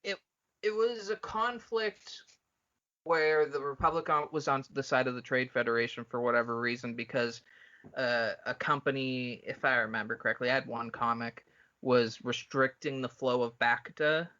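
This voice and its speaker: A man with a bright, punchy voice chats casually as a podcast co-host. He is blunt and opinionated, swearing freely. The audio is somewhat dull and lo-fi. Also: mid-range voice, moderate pace. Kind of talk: casual, profane fan discussion.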